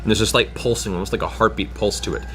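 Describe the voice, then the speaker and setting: A man's voice: lowish voice, fast talker, fairly bright tone, soft background music. Man narrating dramatically as game master. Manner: vivid, dramatic describing with spoken sound effects. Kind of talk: improvised narration of a tabletop fantasy game.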